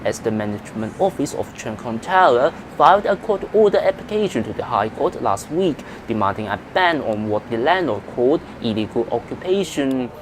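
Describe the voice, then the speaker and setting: Male news correspondent, scripted report delivery, animated intonation, mid pitch, slight outdoor city noise. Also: moderate pace, few pauses.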